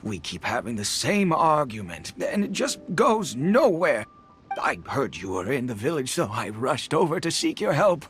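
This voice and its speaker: A man's voice: medium pitch, sing-song, moderate pace, fairly bright tone, soft background music. An actor playing a fretful, grumbling old village man in a video game. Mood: aggrieved. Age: older.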